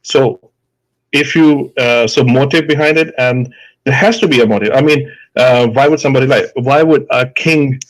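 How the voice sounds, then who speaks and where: A man with an Indian accent takes part in a live debate as a doctor. He talks at a middle pitch, hesitant and full of fillers and restarts. He builds his case through examples. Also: normal speed, rough recording.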